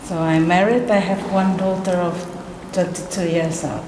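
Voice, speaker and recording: A woman's voice: on the low side, steady tone, moderate pace. Middle-aged Indonesian-accented woman; echoey, noisy room. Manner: brief, simple self-introduction. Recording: roomy echo, clear noise, off-mic.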